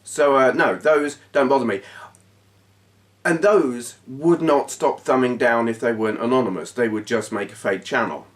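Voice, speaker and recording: A man's voice: medium pitch, normal speed. Guy vlogging with a head cold, blunt and chatty, mic a bit far off. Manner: frank and blunt, with restarts and short laughs. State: stuffy nose from a cold. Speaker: YouTube channel host. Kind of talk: casual talking-head vlog.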